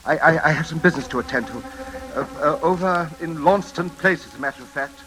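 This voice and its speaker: An actor playing a nervous, obsessive gentleman in old-time radio suspense, speaking hesitantly and repeating himself. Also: a little high, fast, natural voice. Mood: agitated, determined. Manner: hesitant, repetitive, stammering. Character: nervous, obsessive gentleman gripped by suspicion and dread. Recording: hissy, crackling old radio broadcast.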